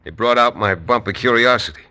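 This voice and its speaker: An actor playing a steady, dry-witted frontier lawyer who narrates a 1950s radio drama in a wry, measured way. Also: male voice, mid-range voice. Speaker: narrator and lead. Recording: noisy old radio recording.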